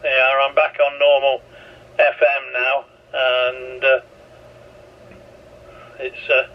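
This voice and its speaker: A man's voice: mid-range voice, monotone, takes it slowly. A man chatting casually, heard through a two-way radio transmission. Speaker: radio hobbyist. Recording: distant, muffled, faintly noisy radio audio.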